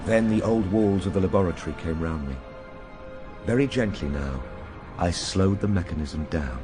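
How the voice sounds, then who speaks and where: A low-pitched, weary Victorian gentleman recounts a strange journey with dramatic intensity. His phrases come short and halting, over prominent music, in the manner of a radio play. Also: animated. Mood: hushed, slowing.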